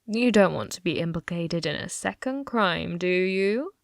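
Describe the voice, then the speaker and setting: A woman's voice: fairly low pitch, expressive, unhurried, creaky, no echo, clean studio sound. A woman playing a possessive young yandere in an ASMR roleplay, teasing and coolly threatening, often dropping into a whisper.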